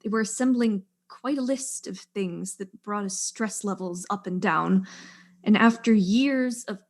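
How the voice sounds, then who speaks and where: A woman's voice: mid-range voice, unhurried. A woman acting a proud, bitter scientist and wronged wife in a mystery play, explaining formally and resentfully. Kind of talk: murder-mystery play dialogue.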